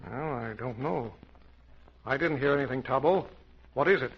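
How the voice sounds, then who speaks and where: A man's voice: medium pitch, moderate pace, husky. An American man playing a bold, commanding safari captain in a theatrical old-time radio adventure serial. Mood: tense, hushed. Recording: dull, noisy vintage radio recording.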